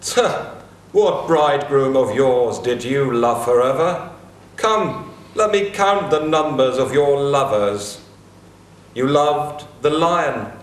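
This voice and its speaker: A man voicing a heroic warrior-king who speaks with slow, weighty scorn, in a theatrical dramatic reading. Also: medium pitch. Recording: echoey room.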